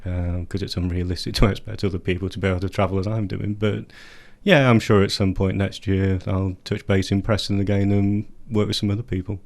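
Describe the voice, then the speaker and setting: A deep-voiced man talks about his poetry in a radio studio. He chats easily and laughs lightly between thoughts. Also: flat delivery, fairly quick, creaky, hardly any pauses, darkish tone. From England. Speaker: poet guest. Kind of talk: radio interview and poetry reading.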